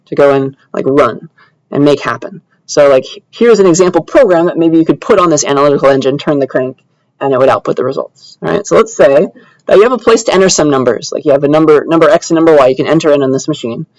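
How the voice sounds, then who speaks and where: A man's voice: on the high side, dry recording, poor audio. A man lecturing informally to a computer science class in a conversational way, often checking in with short tag questions. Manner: casual, conversational, checks in with tags like "all right" and "yeah".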